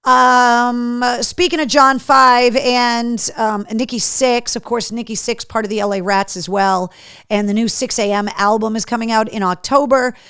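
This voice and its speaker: A middle-aged woman, radio and podcast host, running through concert and event announcements in an upbeat, chatty way with frequent ums. Her voice has grown deeper and worn-in from years of talking. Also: fairly even intonation, a little slow, fairly bright tone, smooth, dry recording, clean studio sound. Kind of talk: casual live-show announcements.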